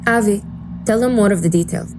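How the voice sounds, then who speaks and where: Blunt female operations officer for audio drama, played by a woman, medium pitch, grave delivery, noticeable background ambience. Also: fairly quick, lightly acted. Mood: grave, firm. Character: serious, blunt covert agency officer briefing a team. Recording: clear background noise and ambience.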